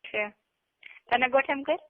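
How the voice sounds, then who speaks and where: A woman with a Gujarati accent speaks over a narrow, tinny telephone line. Her voice is high, and she talks in a casual, colloquial way, as in a private chat. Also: a little slow. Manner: colloquial, brief backchannels. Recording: tinny narrowband phone call recording.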